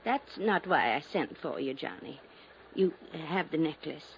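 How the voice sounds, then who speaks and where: A fragile aging society lady with a creaky voice that rises and falls expressively. She sounds wistful and insistent, clinging to her illusions, in an old radio drama with a noisy recording. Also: sits low, lively intonation, unhurried, exaggerated voice. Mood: weak, insistent. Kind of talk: vintage radio drama acting.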